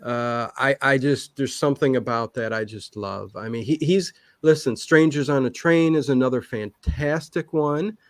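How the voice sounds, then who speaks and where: A man's voice: mid-range voice, no echo, studio quality. A man in a casual interview chat, answering at length and often breaking off to restart his thoughts. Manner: long-winded, halting with many self-interruptions. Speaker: guest, online show creator.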